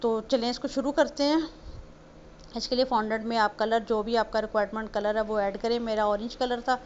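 A woman's voice: a little high, steady tone, fairly quick. A woman from Punjab, Pakistan, casually explaining cake decorating step by step in a homely, chatty way. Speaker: home baker teaching cake decorating. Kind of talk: casual voice-over tutorial. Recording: slightly muffled voice-over with faint noise.

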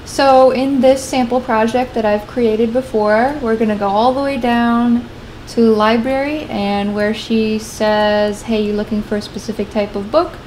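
A young adult woman narrating a software tutorial step by step, in an informal, matter-of-fact way. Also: on the high side, fairly even intonation, unhurried, a little hiss or hum, poor audio. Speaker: tutorial creator and channel host. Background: guitar music.

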